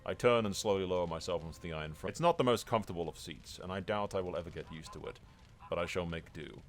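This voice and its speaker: Solemn, formal fantasy king for game roleplay, read by a man, low register, measured delivery. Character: solemn newly crowned medieval fantasy king. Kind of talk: scripted fantasy narration read aloud.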